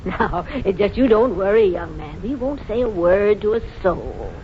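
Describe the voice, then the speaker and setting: An actress gives voice to a chatty, motherly woman who soothes and confides, her pitch rising and falling freely. The sound is a muffled 1940s radio broadcast with a faint hiss underneath. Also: big pitch swings, moderate pace, natural voice. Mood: reassuring.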